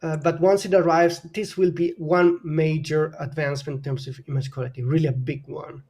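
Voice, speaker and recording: A man's voice: on the high side, flat delivery, fairly quick, a little mellow. A man with a German accent, a native German speaker from northern Italy, explaining camera technology on a live stream panel with many hesitations and fillers. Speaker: guest camera expert.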